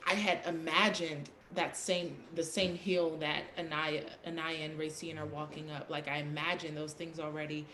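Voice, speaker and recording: Lowish woman in an echoey room. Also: little pitch movement, normal speed, few pauses, fairly bright tone. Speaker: theatrical actor. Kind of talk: casual panel chat. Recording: echoey room with clear background noise.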